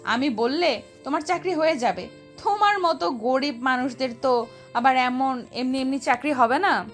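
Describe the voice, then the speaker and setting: A woman's voice: high voice, moderate pace, faint music behind, close to an everyday voice. A woman acting an arrogant, scornful young woman in an audio story drama, with a condescending manner. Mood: haughty, scornful. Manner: haughty, condescending.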